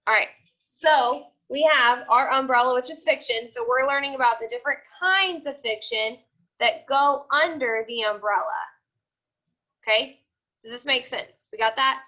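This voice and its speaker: Female elementary teacher, high-pitched, explanatory and repetitive, on a muffled video call. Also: moderate pace, sharp tone. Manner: explanatory, repetitive, checking understanding often. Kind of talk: informal online class lesson to children. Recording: dull, lo-fi video call audio.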